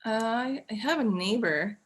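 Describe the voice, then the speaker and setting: A woman on a video call plays a client in a sales role-play. She speaks slowly and answers briefly, with some hesitation. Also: fairly high pitch, lively intonation. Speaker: role-play partner playing a past client.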